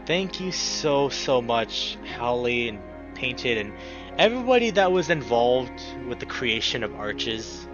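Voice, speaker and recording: Guy doing a lets play, super animated and gushy, slow drawn out words, kinda dull audio w/ game music under. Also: medium pitch. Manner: effusive, exclamatory, with drawn-out words. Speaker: let's play host. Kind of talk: casual emotional gameplay commentary.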